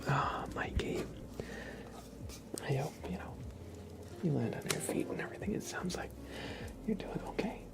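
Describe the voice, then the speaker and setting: A man hosting an ASMR livestream, chatting casually and gently to viewers in a breathy whisper. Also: expressive, normal speed, pauses often. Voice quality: whispered, breathy, with some soft creaky low voicing. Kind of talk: ASMR, soft casual chat to live viewers.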